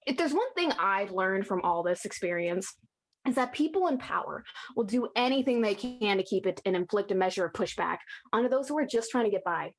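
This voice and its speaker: A woman's voice: mid-range voice, animated, brisk. A woman playing a cynical old demon in a theatrical monologue, speaking in a casual, sardonic way. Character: cynical, jaded old demon who scorns human hypocrisy.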